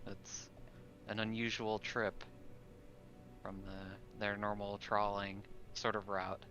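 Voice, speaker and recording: A man with a somewhat deep voice speaks as a player in a tabletop game. He improvises descriptions casually and haltingly, feeling his way through sentences over background music. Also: flat delivery, pushed and bright, dull audio. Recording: music behind the voice.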